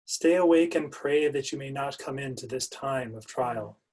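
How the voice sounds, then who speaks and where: A middle-aged man reads scripture in a solemn, deliberate manner. His voice is medium in pitch with a husky edge. He is on a video call from a small room at home. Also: darkish tone. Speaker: reader of the part of Jesus. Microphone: computer on a video call.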